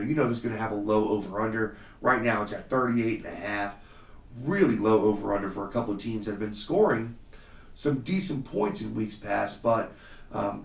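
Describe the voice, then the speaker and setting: A man hosting a solo podcast in a casual, confident way, slightly hoarse from a cold, with frequent fillers. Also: lowish voice, reverberant, recorded from a distance. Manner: confident, conversational, many fillers. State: slightly hoarse, under the weather. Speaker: podcast host. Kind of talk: solo fantasy football podcast talk.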